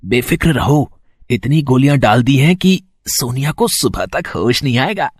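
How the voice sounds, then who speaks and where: A man's voice: mid-range voice, lively intonation, moderate pace, breathy edge, no echo, studio quality. A man playing a menacing young villain in a dramatised story, taunting and leering. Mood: conspiring. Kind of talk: dramatised Hindi moral story.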